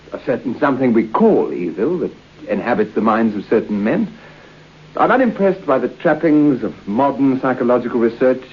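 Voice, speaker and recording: Doubting gentleman for radio chiller, measured and courteous, slightly halting, husky voice, muffled recording. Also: mid-range voice. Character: sceptical, faithless, uncommitted man hired for a haunted night. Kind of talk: old-time radio horror drama acting. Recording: dull, muffled old radio broadcast.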